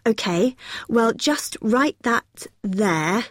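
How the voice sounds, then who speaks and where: A woman's voice: a little high, expressive, very slow, dry recording, clean studio sound, heavily acted. Helpful young student union woman. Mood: friendly, helpful. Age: young adult. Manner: helpful, explanatory. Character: friendly, helpful female student union helper. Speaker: student union helper in a listening exercise. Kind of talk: acted everyday dialogue.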